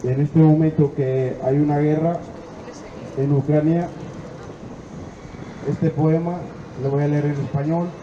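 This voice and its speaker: Slow man reading in a hall. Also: a little high, little pitch movement. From Senegal. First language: Wolof. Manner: slow, hesitant reading with fillers. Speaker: adult student reading poetry. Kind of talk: poetry reading. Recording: echoey hall, dull, noisy.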